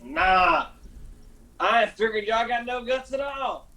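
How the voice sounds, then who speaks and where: An actor playing a proud, stubborn young mountain sweetheart with a folksy Appalachian drawl in a radio drama. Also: male voice, very high-pitched, very animated, takes it slowly, creaky, pushed and bright, full character voice. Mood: defiant, taunting.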